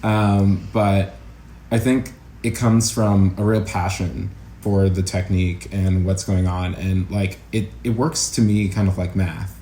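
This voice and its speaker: Male interview guest, soft dark tone, thoughtful and explanatory, roomy sound with faint noise behind. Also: low voice, level tone, unhurried. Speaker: guest, acting teacher. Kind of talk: casual interview conversation.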